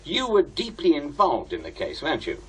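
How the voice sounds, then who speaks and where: A husky British man a bitter radio investigator in an audio drama. His delivery swings widely in pitch, sardonic and confrontational, with defiance running through it. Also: huge pitch swings, moderate pace, fairly bright tone, full character voice. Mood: composed, pointed.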